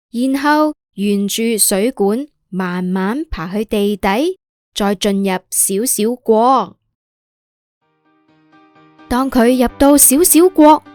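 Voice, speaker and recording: A woman's voice: fairly high pitch, darkish tone. A woman with a Hong Kong accent narrating a scripted children's story in a simple, explanatory way.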